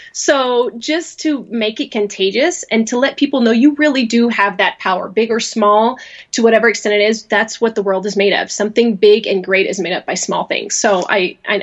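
A woman's voice: mid-range voice, highs cut off. A woman from rural Louisiana, talking casually as a podcast guest, rambling and laughing often as she restarts her sentences. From rural Louisiana, USA. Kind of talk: casual interview talk.

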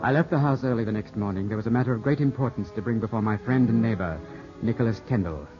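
A man acting an impulsive, devoted young English gentleman in a 1950s radio drama, speaking with earnest, dramatic delivery. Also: lowish voice, quick pace, lightly acted. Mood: reflective. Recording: dull vintage radio recording with music behind.

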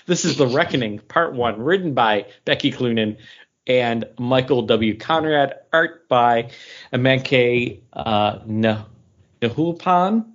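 A man chats casually as co-host of a comics podcast, at a middle pitch. He often trails off and restarts as he searches for names. The audio is dull and lo-fi. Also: lively intonation, slow. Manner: chatty, trails off and restarts while recalling names. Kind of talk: casual chat.

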